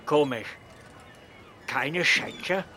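An actor playing a gruff, bossy but good-natured pirate harbour master in a children's radio play. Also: male voice, a little high, drawn-out words, background noise. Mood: officious. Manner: bossy, teasing, theatrical.

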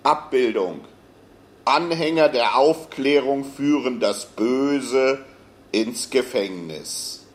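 A man playing a solemn announcer who reads out slide captions deliberately and haltingly in a radio play. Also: mid-range voice, expressive, slight background noise. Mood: measured, detached. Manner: deliberate, halting, fragmentary delivery.

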